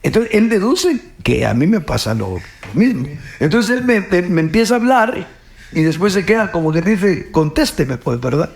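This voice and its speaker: A man's voice: high voice, very animated, normal speed, vocal fry. A middle-aged man with a Guatemalan Spanish accent, speaking earnestly about faith, often repeating words and ending with "pues, ¿verdad?". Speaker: host. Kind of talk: reflective faith talk.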